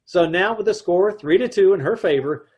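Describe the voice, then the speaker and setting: A man playing a wisecracking advertising man who also narrates, in an old-time radio comedy, deadpan and dry, over a video call. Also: sits high, normal speed, breathy edge, highs cut off.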